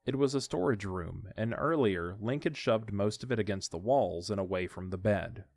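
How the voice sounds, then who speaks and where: A man's voice: fairly low pitch, normal speed, vocal fry, darkish tone, dry recording. A man reading scripted story narration as the narrator of an audiodrama.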